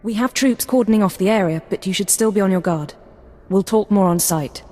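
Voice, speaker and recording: An actress voicing a composed, brisk Imperial intelligence analyst in a video game, speaking crisply and briefing in a businesslike way. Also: female voice, medium pitch, a little mellow, natural voice. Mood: efficient, reassuring. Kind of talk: scripted video game dialogue.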